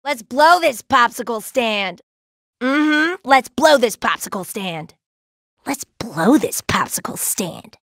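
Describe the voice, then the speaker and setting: A woman's voice: a little high, expressive, slow pace, fairly bright tone, no echo, studio quality, exaggerated voice. A woman voicing a brash, playful, laid-back young female gem warrior in a cartoon video game, with a cheeky, slangy, exclamatory delivery. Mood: easygoing. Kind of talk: cartoon video game voice acting, short barks.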